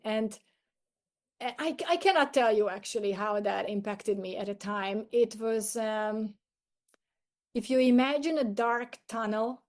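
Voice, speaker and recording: Higher-pitched woman with a Hungarian accent. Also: a little mellow. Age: middle-aged. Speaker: podcast guest, coach. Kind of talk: personal storytelling in an interview.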